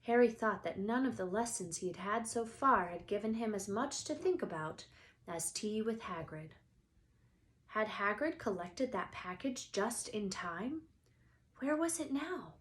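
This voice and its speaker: A woman's voice: mid-range voice, lively intonation, a little slow. A woman narrating a children's book as a read-aloud storyteller. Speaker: storybook reader.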